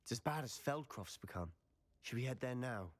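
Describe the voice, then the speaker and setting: Male actor as a young student wizard, curious, animated delivery. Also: medium pitch, normal speed, lightly acted.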